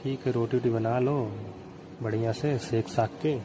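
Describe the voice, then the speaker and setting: A man's voice: mid-range voice, fairly even intonation, moderate pace, close to an everyday voice. A man with an eastern Hindi-belt accent playing a nervous, curious young brother-in-law, casually seeking advice in a staged phone call. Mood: sheepish, confiding. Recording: noisy phone call recording.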